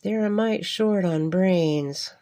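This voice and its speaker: A woman's voice: on the low side, slow, mellow. A woman voicing a wary but hospitable old cowhand in a Western storybook, with folksy ranch phrasing. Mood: doubtful.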